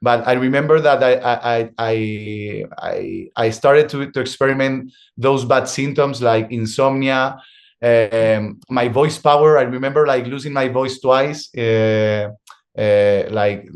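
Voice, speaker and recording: A young adult man from Spain with a Spanish accent, a guest in an interview, speaking English as a second language and talking haltingly, with frequent restarts as he searches for words. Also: medium pitch. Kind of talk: conversational interview.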